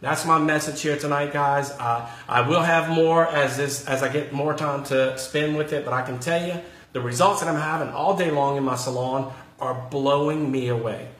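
A middle-aged male hairdresser giving an excited product demonstration, talking with frequent ums, filmed on a phone indoors. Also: a little high, moderate pace. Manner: enthusiastic, salesy, with frequent ums.

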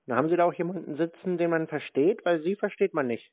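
A man's voice: fairly high pitch, fast. A man making mocking prank phone conversation in a teasing, sarcastic manner, as the host of the calls. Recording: narrow tinny phone line.

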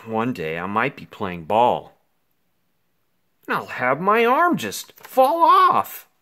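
A man reads a rhyming children's book aloud in the role of an anxious little boy. His delivery is theatrical and extremely animated, with pitch leaping up and down. He takes it slowly, leaving dramatic pauses. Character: anxious, melodramatic five-year-old boy narrating his woes. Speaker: picture book reader.

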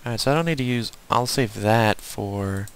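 A man's voice: on the low side, normal speed, a bit bright, smooth. A man with a Southern US accent giving casual, unscripted game commentary, rambling and muttering to himself as he plays. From southern United States. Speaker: gaming video host.

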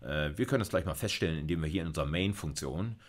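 Middle-aged guy with a really low voice, teaching coding, informal, thinking out loud as he goes. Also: animated, fast. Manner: informal, thinking aloud while working. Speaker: university lecturer. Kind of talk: computer science lecture with live coding. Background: computer keyboard typing.

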